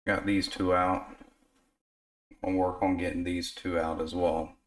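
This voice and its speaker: A man with a deep, flat voice that is worn hoarse from being sick. He talks in a relaxed, unscripted way at his repair bench, full of pauses and fillers as he works. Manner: relaxed, filler-heavy explaining while working. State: hoarse from illness. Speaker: radio repair technician. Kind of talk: casual workshop narration. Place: workbench in a home repair shop.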